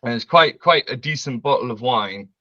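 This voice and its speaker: A man, telling a story as a guest on a video call, loud and brash, laughing often. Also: medium pitch, lively intonation, moderate pace, no echo, highs cut off. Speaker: interview guest. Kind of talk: casual storytelling. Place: bright home room with large windows.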